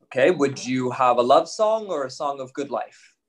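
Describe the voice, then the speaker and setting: A man's voice: mid-range voice, animated, moderate pace, lightly acted. A man in his twenties playing a playful household fool in a Shakespeare reading over a video call. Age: 20s. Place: home kitchen.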